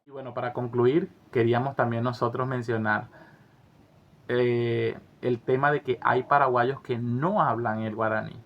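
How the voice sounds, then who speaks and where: A man's voice: medium pitch, fairly even intonation, measured pace, muffled recording. A man from Venezuela, co-hosting and chatting earnestly and reflectively about life abroad. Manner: earnest, reflective, some hesitant restarts. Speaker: co-host of travel channel. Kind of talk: casual conversational commentary.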